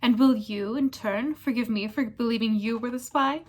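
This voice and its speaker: A woman voicing a calm, grim man who speaks in a casual, measured way in a storybook reading. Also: fairly high pitch, close to an everyday voice. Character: calm, grim man, loyal old friend.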